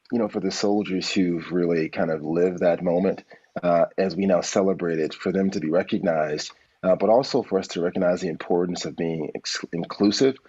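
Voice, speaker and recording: Deep, husky man on a video call. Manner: relaxed, hesitant, many fillers. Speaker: founder and program leader. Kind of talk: casual interview on a video call. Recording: muffled video call audio.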